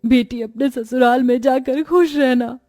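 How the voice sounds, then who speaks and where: A woman's voice: high voice, fairly even intonation, a little slow, mellow. A woman voicing a fond, practical middle-aged mother in a family story. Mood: fond, reassuring. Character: fond middle-aged mother arranging her daughter's marriage. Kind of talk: Hindi family storybook acting.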